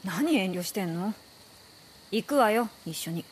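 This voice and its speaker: Bold young female game companion actress, mid-pitched, teasing and decisive, faint ambience. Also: animated, unhurried, a little hiss or hum, lightly acted, poor audio. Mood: teasing, urging. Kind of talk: scripted game drama.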